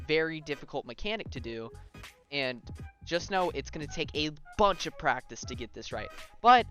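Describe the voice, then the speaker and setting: A man's voice: fairly high pitch, pushed and bright. A young man giving a casual video game tutorial, talking informally and directly to viewers and often checking in with them. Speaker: gaming tutorial host.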